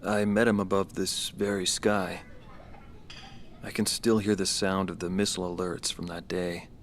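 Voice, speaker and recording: An actor playing a sombre, haunted former fighter pilot, speaking in a subdued, reflective documentary interview. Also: male voice, sits low, flat delivery, moderate pace.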